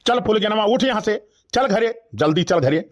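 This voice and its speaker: A man performs a grumpy village father for a comedy cartoon, in a distinctly high male voice. His Awadhi accent is rustic, and he speaks in a scolding, irritable way. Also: lively intonation, normal speed. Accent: Awadhi, eastern Uttar Pradesh.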